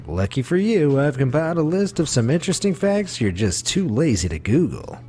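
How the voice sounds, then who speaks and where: A man reading a scripted facts video as narrator, with a smooth, wry delivery. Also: a little high, big pitch swings, normal speed, mellow, faint music behind.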